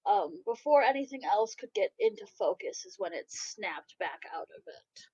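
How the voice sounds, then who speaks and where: A woman improvising narration as game master of a tabletop game, with a halting delivery. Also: fairly high pitch, big pitch swings, creaky, dark tone, breathy edge, no room sound. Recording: dull, lo-fi microphone sound.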